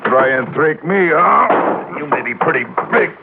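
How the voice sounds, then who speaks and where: A man's voice: a little high, lively intonation, a little slow, creaky. An actor playing a big, menacing Mexican gunman with a Mexican accent, speaking broken English in a radio crime drama. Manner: broken English with Spanish words. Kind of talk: old-time radio crime drama acting. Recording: muffled, noisy vintage radio recording.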